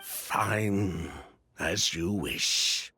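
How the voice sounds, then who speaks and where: A man playing a guarded, resigned merchant in a video game, telling his story in a deliberate, world-weary way. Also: deep voice, pushed and bright, a little hiss or hum, full character voice. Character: guarded, resigned male merchant with a bitter past.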